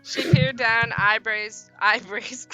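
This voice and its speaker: A woman streamer reading a visual novel aloud and mixing it with animated, slangy banter, sometimes stumbling over words. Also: a little high, slow pace, faint music behind. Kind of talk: live playthrough, narration mixed with casual banter.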